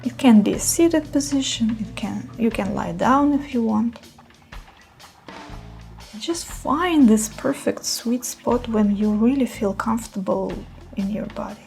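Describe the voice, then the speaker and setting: A woman leading a guided meditation in a soothing, unhurried manner with gentle pauses. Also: fairly high pitch, vocal fry, faint music behind.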